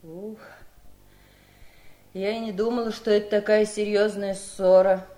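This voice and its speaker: Actress as gentle, wistful genteel woman, mid-pitched, chatty, echoing radio-play room. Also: flat delivery, measured pace, close to an everyday voice. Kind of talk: 1970s radio drama acting. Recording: roomy 1970s radio studio recording.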